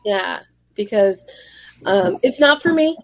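Female podcast guest, high-set but cold-roughened voice, animated casual storyteller, close dry sound. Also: slow, no room sound, poor audio. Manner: animated storytelling, acts out exchanges. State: sick, voice deeper and more gravelly than usual. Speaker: podcast guest, small business owner who ships orders. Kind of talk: casual chat.

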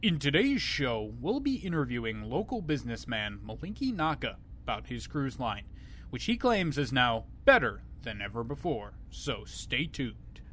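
Breezy sci-fi radio host, played by a man, mid-range voice, animated delivery, flippant comedy, slight noise. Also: medium pitch, normal speed. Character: flippant male sci-fi talk show host. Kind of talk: comedy radio show hosting.

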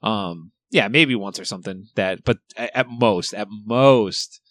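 A man's voice: on the low side, unhurried, fairly bright tone, no echo. A young man co-hosting a podcast, chatting casually, rambling, laughing and swearing freely. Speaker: podcast co-host. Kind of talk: casual chat.

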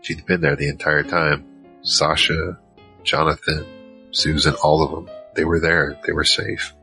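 Man as very deep, wry drama narrator. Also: flat delivery, a little slow, creaky, pushed and bright, faint music behind, exaggerated voice. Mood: reflective. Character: Michael, weary wry male narrator searching for his family. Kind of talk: first-person audio drama narration and dialogue.

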